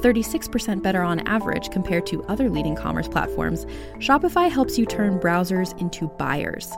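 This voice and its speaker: A woman reads scripted podcast promos and sponsor spots. Her voice is mid-pitched, with a clear music bed running behind it.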